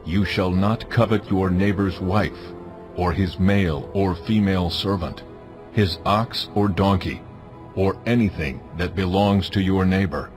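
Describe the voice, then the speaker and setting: A man's voice: low-pitched, steady tone. A man playing a stern, majestic deity who gives solemn, authoritative commands in a dramatized scripture production. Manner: solemn, authoritative, deliberate phrasing. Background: music.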